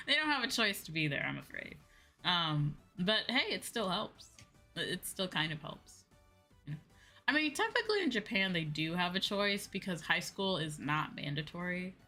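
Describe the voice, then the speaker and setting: A woman streamer chatting casually and answering viewers in an animated, conversational way, often repeating phrases for emphasis. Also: lowish voice, moderate pace. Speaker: VTuber streamer; high school teacher. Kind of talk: casual livestream chat, replying to viewers. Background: background music.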